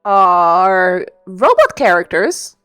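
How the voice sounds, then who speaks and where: A woman's voice: fairly low pitch, very animated, very slow, fairly bright tone, smooth, soft background music. A woman from the part of Belgium, chatting casually as a game streamer and rambling through explanations. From Belgium, Flemish-speaking part. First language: Dutch (Flemish). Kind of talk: casual live-stream commentary.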